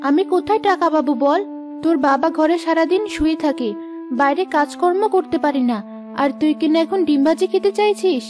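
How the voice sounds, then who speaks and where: A woman's voice: very high voice, brisk, music in the background. A woman playing a poor, loving village mother in a cartoon, plaintive and caring. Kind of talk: Bengali cartoon moral story dialogue.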